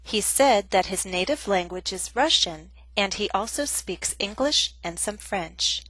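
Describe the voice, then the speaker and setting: A woman gives a scripted grammar lesson, speaking in a clear and measured way. Her voice sits at an ordinary middle pitch, recorded close and dry with no room sound. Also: expressive, sharp tone, no room sound. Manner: clear, measured teaching delivery. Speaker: English teacher.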